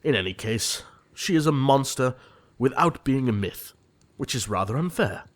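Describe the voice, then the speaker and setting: A man playing an earnest young gentleman suitor in a period comedy audio play, formal and easily exasperated. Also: medium pitch, expressive, normal speed, lightly acted. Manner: formal, earnest, fussily indignant.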